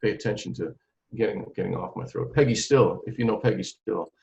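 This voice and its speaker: A man in his forties talking about his music career in a casual interview, animated and full of restarts and repeated words. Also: lowish voice, fairly quick, vocal fry. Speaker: trumpeter and singer, interview guest. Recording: dull lo-fi sound.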